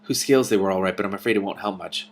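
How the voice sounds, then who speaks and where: A man's voice: deep voice, racing. A man voicing a frank, worried young woman who explains things matter-of-factly in a storybook read-aloud.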